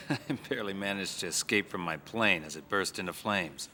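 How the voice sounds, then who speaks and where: A man's voice: deep voice, normal speed, noisy background, close to an everyday voice. An actor playing a plucky, self-mocking ex-fighter pilot, telling his story in a wry, conversational game-cutscene interview style. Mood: recounting, tense.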